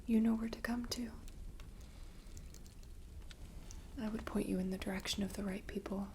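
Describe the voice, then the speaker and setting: A young woman playing a mysterious, gentle seaside shopkeeper in a whispered ASMR roleplay, hushed, unhurried and intimate. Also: medium pitch, steady tone, a little hiss or hum, natural voice. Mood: hushed, kindly. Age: young adult. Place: dim candlelit tabletop set indoors.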